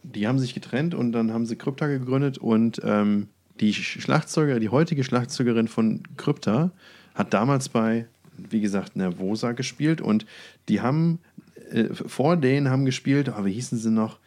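A man's voice: medium pitch, normal speed, darkish tone. A man talks casually and tells anecdotes as co-host of a podcast.

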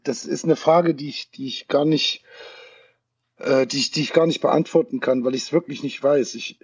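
A man's voice: mid-range voice, fairly even intonation, normal speed, breathy edge, dry recording, muffled recording. A male actor, a guest in a casual interview, talking candidly and searching for his words over a call.

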